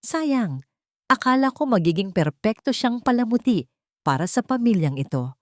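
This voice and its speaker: A woman's voice: on the high side, normal speed, dry recording, studio quality, pitched above the performer's own voice. Woman voicing a cold, commanding rich matriarch. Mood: mock regret. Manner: calm but cutting, imperious.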